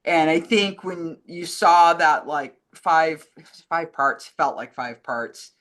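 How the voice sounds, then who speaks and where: A woman's voice: low voice, slow, a bit bright. A woman co-hosting a casual film chat, speaking in an opinionated, digressive way. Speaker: co-host.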